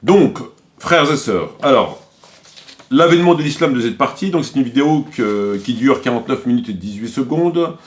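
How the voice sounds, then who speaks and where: A man giving an informal spoken critique to viewers, halting and repetitive as he searches for words, with an indignant, emphatic edge. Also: mid-range voice, animated. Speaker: religious commentator and video presenter.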